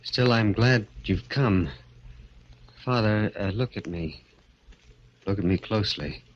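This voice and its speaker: An actor playing a bitter, anguished vaudeville little person in a 1940s radio drama, intense and halting. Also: male voice, on the low side, highs cut off, a little hiss or hum. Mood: bitter, brooding.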